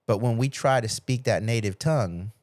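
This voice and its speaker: A man's voice: mid-range voice, normal speed, a little mellow, dry recording. A middle-aged man in a casual podcast chat, telling personal stories with acted-out quotes and loose, salty language. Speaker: podcast host.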